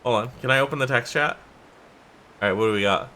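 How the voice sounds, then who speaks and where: A man's voice: mid-range voice, quick pace, bright, forceful tone. A man in his twenties chatting casually as a streamer, rambling and restarting his sentences while reading out chat. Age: 20s. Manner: rambling, trails off and restarts, laughs easily. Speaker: streamer playing a strategy game.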